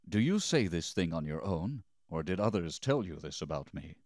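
Holy prisoner for scripture drama, played by a male reader: low, solemn, measured and steadfast, dry close sound. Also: sing-song, darkish tone, no room sound. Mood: calm challenge.

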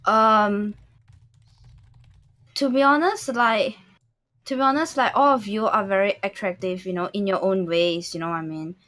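A woman's voice: mid-range voice, moderate pace, pauses often, a bit bright. A woman in her twenties from Hong Kong and Singapore, a native Cantonese speaker, chatting casually as a livestream guest. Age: 20s. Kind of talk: casual chat. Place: indoor room, online video call.

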